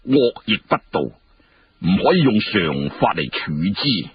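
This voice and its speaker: Deep, grave old minister played by a man. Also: very animated, highs cut off, slight background noise, exaggerated voice. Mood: grave, measured. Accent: Hong Kong Cantonese. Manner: slow, measured, formal, low.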